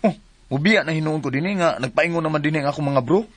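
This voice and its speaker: A man's voice: a little high, fast talker, close to an everyday voice. A man with a Cebuano Filipino accent acting an easygoing, offhand young son in a radio drama. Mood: casual, reassuring.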